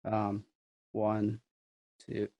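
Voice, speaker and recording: A man's voice: fairly low pitch, completely flat delivery, extremely slow, lo-fi sound. A man from Canada hosting a podcast as an informal, slangy chat. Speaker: podcast host. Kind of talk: casual chat.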